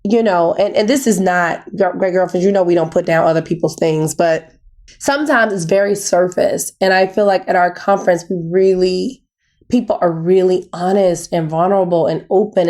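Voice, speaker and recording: A woman hosting a podcast, talking in a casual, animated way. Her voice is naturally raspy and hoarse from a cold. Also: on the low side, moderate pace. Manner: animated, storytelling with "girl" asides. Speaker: podcast host. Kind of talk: casual chat.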